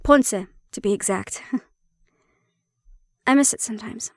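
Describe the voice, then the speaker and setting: Girl voicing a half banshee half siren woman, kinda prickly n teasing, talks right to you, lightly high voice, super dry audio. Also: takes it slowly, dry recording. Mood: candid, wry. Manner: conversational, explanatory, teasing, guarded. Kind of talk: intimate audio roleplay, speaking to the listener.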